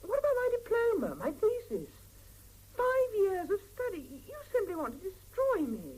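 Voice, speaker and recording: Weary working mother, mellow and expressive. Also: extremely high pitch, normal speed, heavily acted, low-quality recording. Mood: indignant, hurt. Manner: dry, sardonic retorts. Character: weary, exasperated young Soviet working mother and scientist. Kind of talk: 1970s radio drama, dialogue and intimate first-person narration. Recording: hissy 1975 radio drama cassette, mono.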